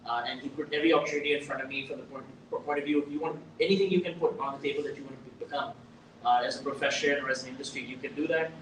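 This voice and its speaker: A man in his thirties, raised in the Middle East, telling his story as an interview guest in a measured way with pauses. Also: medium pitch, fairly even intonation, a bit bright. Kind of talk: conversational interview. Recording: echoey room from a distant mic, faint noise.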